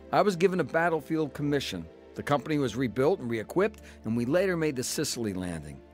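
Male actor as radio drama narrator, grown son, plain-spoken, matter-of-fact, medium pitch, musical backing. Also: close to an everyday voice. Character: adult son narrating his crooked youth and war years. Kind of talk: scripted first-person radio drama narration.

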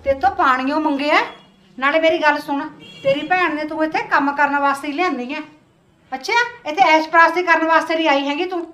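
A bossy middle-aged mother, played by a woman, snapping at the household in a raised, scolding voice. Her voice sits a little high for a woman. She nags and coaxes her grown son in a homemade family skit. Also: a little fast, close to an everyday voice. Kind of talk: comic family skit acting.

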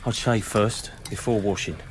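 An actor playing a chummy yet dangerous British scavenger in a radio drama, in clipped, sardonic, mock-friendly phrases. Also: male voice, on the low side, moderate pace, background noise, natural voice. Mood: chummy, controlling.

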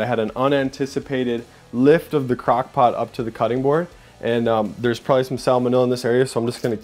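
A young man hosting a casual cooking demo in a home kitchen, chatty and upbeat, narrating each step as he works. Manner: upbeat, chatty, says "boom" while working. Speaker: cooking show host.

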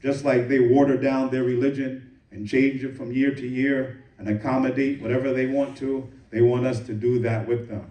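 A man's voice: medium pitch, flat delivery, mellow. Man preaching in an echoey hall. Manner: recites Arabic verses quickly then translates into English. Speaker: imam giving Friday sermon. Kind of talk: sermon. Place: mosque prayer hall. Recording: echoey room, voice from a distance.

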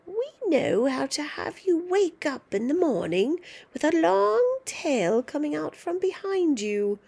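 A woman performs a wicked old grandmother from a storybook, whispering threats in a throaty voice. The delivery is slow and gravelly, rising and falling widely. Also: high voice, sing-song, dark tone, pitched above the performer's own voice, exaggerated voice. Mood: menacing. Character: sinister old grandmother witch, menacing.

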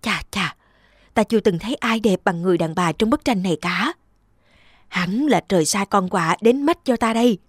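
A woman's voice: medium pitch, normal speed, no room sound, studio quality, close to an everyday voice. A woman voicing a pompous, covetous middle-aged emperor with a commanding manner in a cartoon folktale. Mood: admiring.

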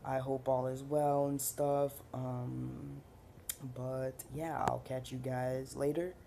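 A man's voice: mid-range voice, little pitch movement, slow, gravelly, warm tone, a little hiss or hum. A young man talking casually to his webcam on a porch, rambling with many fillers and restarts. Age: young adult. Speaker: vlogger. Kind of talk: casual rambling vlog update. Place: porch outdoors, seated on wicker chair.

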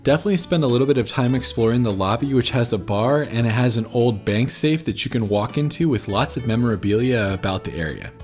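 A man's voice: medium pitch, animated, quick pace, few pauses, a little mellow, faint music behind. A man in his thirties narrating a road-trip travel video, giving viewers practical tips in a scripted voice-over. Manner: informative, recommending tips to viewers. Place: voice-over recorded apart from footage.

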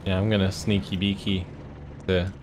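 A man with a very low voice chats with a teammate during a shooter game, dry and laid-back, happy to laugh at his own bad aim. Gunfire and explosions from the game sound clearly behind him. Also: little pitch movement, moderate pace, noisy background. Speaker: co-player. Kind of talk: casual gameplay chat.

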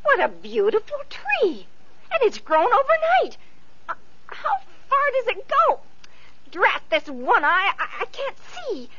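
Peevish fairy-tale sister. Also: female voice, extremely high pitch, unhurried, full character voice. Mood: excited. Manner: peevish, excitable. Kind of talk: 1940s radio acting. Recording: lo-fi old radio recording with hiss.

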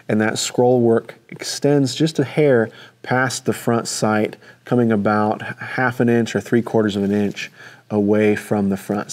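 A young man with a fairly low voice talks through the details of the objects he holds in a steady, measured way. He speaks from a table in an indoor studio, close to a clip-on mic. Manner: measured, detailed describing while handling objects. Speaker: firearms presenter. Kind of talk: informal product walkthrough.

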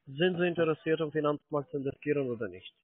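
Fast insistent salesman on a tinny phone line. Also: on the high side, no echo. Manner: insistent, impatient. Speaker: call centre salesman. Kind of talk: cold sales phone call.

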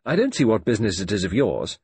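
A man voicing a defiant twenty-one-year-old woman who speaks in a sharp, indignant, curt way. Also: mid-range voice, wildly swooping pitch, a little fast, breathy edge, dry recording, voice pushed up.

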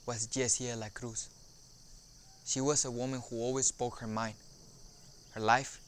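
Young actor as earnest son recalling childhood, noisy backdrop. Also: male voice, medium pitch, fairly bright tone, lightly acted. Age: young adult. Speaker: actor in an oral history dramatization. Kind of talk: dramatized first-person monologue.

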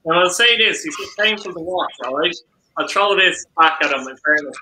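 An Irish man hosting a football chat over a video call, arguing his point and firing off rhetorical questions. His voice is sharp, very bright and on the high side. The room is echoey, with faint noise behind it. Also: animated, moderate pace. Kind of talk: casual post-match chat.

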